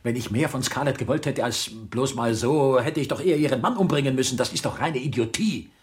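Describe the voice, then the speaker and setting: A man's voice: medium pitch, a little fast, lightly acted. An actor in a German radio drama playing an exasperated, rattled man who is blunt, scornful and disbelieving.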